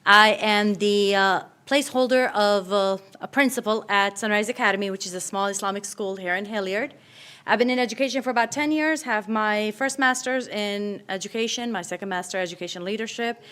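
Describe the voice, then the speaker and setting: A middle-aged woman from Kurdistan, native in Kurdish, gives an informal speech. Her voice is fairly high and bright, with a chatty, nervous excitement. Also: normal speed. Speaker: school principal introducing herself. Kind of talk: informal short speech at a reception.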